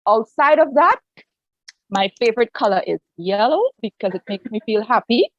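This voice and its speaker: A woman's voice: fairly high pitch, expressive, moderate pace, highs cut off, a little hiss or hum. A woman in her forties with a Trinidadian accent, a pastor and counselor telling her personal story earnestly on a video call, often restarting her sentences. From Trinidad and Tobago. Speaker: guest, pastor and family life counselor. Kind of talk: personal story in an online interview.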